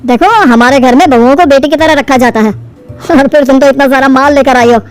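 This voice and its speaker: A woman's voice: sits high, fairly quick, natural voice. A woman voicing a fussy, greedy middle-aged father-in-law in a storybook tale. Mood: puzzled, fussy. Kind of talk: animated storybook dialogue. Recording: music under the dialogue.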